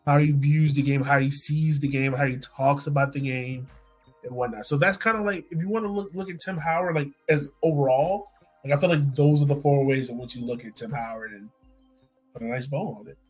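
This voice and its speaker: A man's voice: medium pitch, fairly quick, slightly rough, faint music behind. A man hosting a sports podcast in a casual, informal chatting style, often checking in with the listener. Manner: informal, frequently checks in with "right" and "like". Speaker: podcast host.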